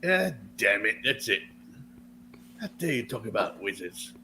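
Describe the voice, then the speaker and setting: A man's voice: on the low side, big pitch swings, sharp tone, husky. A man hosting a casual podcast, rambling and joking in profane banter. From Texas. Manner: rambling, joking, quick to laugh. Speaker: podcast host.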